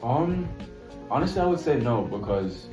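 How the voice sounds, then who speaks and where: A man's voice: mid-range voice, normal speed, far from the mic. A young man from Jamaica, a college freshman, chatting casually in an interview and calling the interviewer bro. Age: young adult. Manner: relaxed, says bro a lot. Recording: roomy, off-mic, music behind.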